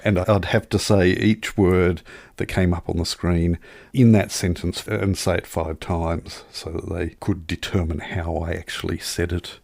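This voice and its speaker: A man with a low, gravelly voice talks casually as a podcast co-host. He tells everyday anecdotes with wry, wordy phrasing. The sound is dry, free of room echo. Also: little pitch movement, measured pace, a little mellow.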